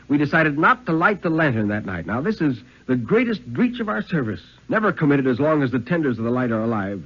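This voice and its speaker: A dull vintage radio recording carries a man's slightly high, husky voice. He reads a suspense story in the first person with theatrical weight and deliberate phrasing. His pitch moves freely as the tension shifts. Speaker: storyteller reading a thriller.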